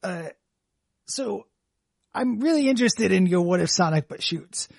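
A man's voice: sits high, animated, unhurried, gravelly, darkish tone, no room sound, clean studio sound, full character voice. A man improvising a male game company executive who tacks a catchphrase onto every sentence. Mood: deadpan. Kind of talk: improvised comedy sketch.

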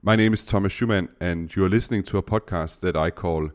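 Casting: deep-voiced Danish-native podcast host, hesitant, lots of uhs. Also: male voice, fast. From Denmark. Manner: hesitant, many uh fillers. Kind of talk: interview podcast.

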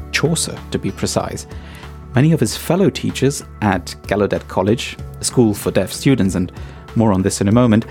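A man hosting a podcast, giving scripted narration in a measured, explanatory way. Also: medium pitch, gravelly, mellow. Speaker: podcast host.

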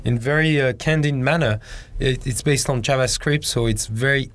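A man's voice: mid-range voice, moderate pace, fairly bright tone. Middle-aged guy from hong kong, french is his first language, jokey, rambly, repeats himself, bit of hiss behind. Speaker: podcast guest. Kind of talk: casual podcast interview.

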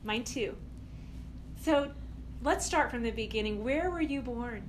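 Higher-pitched woman hosting an interview. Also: a little hiss or hum, low-quality recording. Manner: scripted, measured introductions and short encouraging replies. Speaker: podcast host.

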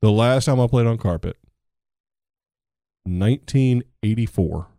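Sarcastic middle-aged man, dark voice. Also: mid-range voice, measured pace, no room sound, clean studio sound. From United States. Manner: joking, sarcastic, rambling. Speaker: podcast co-host. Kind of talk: casual chat.

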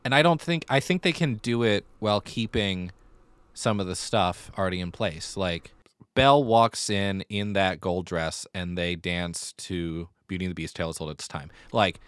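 A man's voice: low voice, moderate pace, fairly bright tone, clear, resonant tone, no room sound. A man co-hosting a podcast in casual conversation, building his points through repeated phrasing. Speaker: podcast co-host.

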